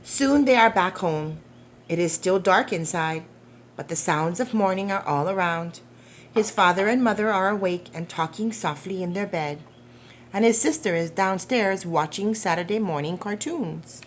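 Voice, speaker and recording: A woman reading a picture book aloud to young children in a gentle, deliberate, expressive way, with questions put to the listeners. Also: on the low side, a little hiss or hum. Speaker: storytime reader for preschoolers.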